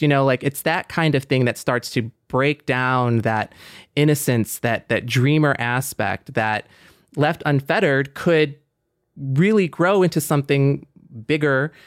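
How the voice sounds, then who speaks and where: A man's voice: mid-range voice, clean tone, no room sound. Explanatory man, dry studio-like sound. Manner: explanatory, repeats phrases for emphasis. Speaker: podcast panelist. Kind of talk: casual discussion.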